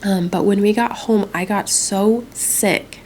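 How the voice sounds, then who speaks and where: A woman's voice: medium pitch, steady tone, slow pace, a little mellow, a little hiss or hum. A young woman vlogging casually from home, chatting in a rambling way with a stuffy, congested nose. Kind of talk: casual chat to camera.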